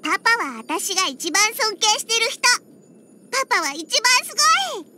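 A woman's voice: extremely high pitch, very animated, moderate pace, noisy background. A woman voicing a feisty little catgirl in an anime game, with a childlike, cutesy voice and a huffy, pouty manner. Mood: proud, earnest. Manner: pouty, huffy, with cat-like verbal tics. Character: feisty little catgirl bartender who hates alcohol. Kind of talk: Japanese anime game character acting. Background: background music.

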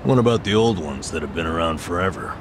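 Dry, low-voiced man. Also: lively intonation, quick pace, noisy background. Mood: puzzled, dry. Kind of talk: English video game cutscene dub. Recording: music behind the voice.

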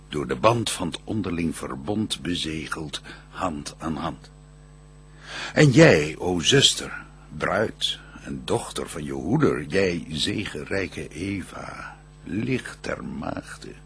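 An actor playing a solemn archangel who brings God's blessing, declaiming verse in a stately, ceremonious manner for a radio play. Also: male voice, deep voice, fairly bright tone, slightly rough, full character voice.

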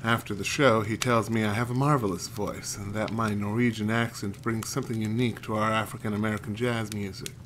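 Guy streaming a noir game, lowish voice, noisy mic, reads stuff out loud. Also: fairly even intonation, brisk, hardly any pauses. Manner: stumbles over names while reading. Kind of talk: let's play commentary and reading notes aloud.

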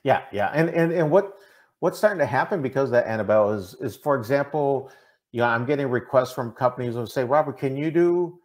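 A man's voice: medium pitch, animated, brisk. A middle-aged man from the American Midwest giving earnest, conversational interview answers, often checking in with "right?". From Minnesota, United States. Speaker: interview guest, diversity consultant. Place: indoor room, on a video call. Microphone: video call microphone.

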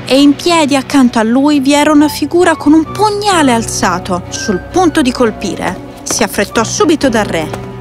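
Woman reading a kids fairy tale, voice on the high side, soft music under it. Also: expressive, normal speed. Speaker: storyteller, narrator. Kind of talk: scripted children's fairy tale narration. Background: faint music.

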